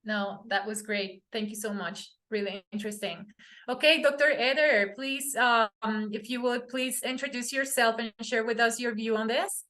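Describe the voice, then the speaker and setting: A woman with a fairly high voice moderates an online academic panel. She is encouraging, with brief "uh" fillers as she hands the floor to each guest. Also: fairly even intonation, normal speed, sharp tone.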